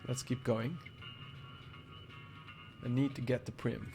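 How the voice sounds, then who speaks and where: A man's voice: medium pitch, level tone, slow, mellow, soft background music. A man casually commenting on a game as he plays it, sniffling from a cold and musing to himself. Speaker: game streamer. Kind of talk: casual let's-play commentary.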